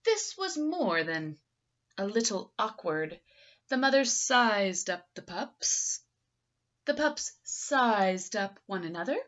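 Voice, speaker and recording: A woman's voice: mid-range voice, sing-song, slow, fairly bright tone, muffled recording. A woman reading a children's picture book aloud expressively and theatrically, engaging young listeners.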